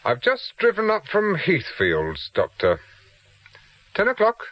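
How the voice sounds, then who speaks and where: An actor playing a British investigator in a radio drama, asking short, probing questions with controlled insistence. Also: male voice, high voice, huge pitch swings, slow pace, a bit bright, dry recording, exaggerated voice. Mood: firm, insistent. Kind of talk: naturalistic radio drama acting.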